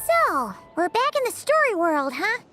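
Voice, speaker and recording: A woman voicing a small, excitable childlike girl companion in a video game, chirpy, blunt and easily exasperated. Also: extremely high pitch, a little slow, over music, heavily acted. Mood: eager. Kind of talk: video game character acting.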